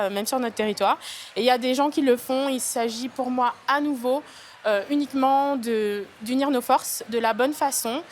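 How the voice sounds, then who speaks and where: A woman doctor giving measured, thoughtful interview answers. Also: high voice, slight background noise.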